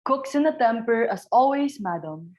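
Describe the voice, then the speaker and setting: A woman's voice: fairly high pitch, lively intonation, moderate pace, darkish tone, close to an everyday voice. A woman playing a deferential, practical household servant with a polite manner, on a slightly dull microphone.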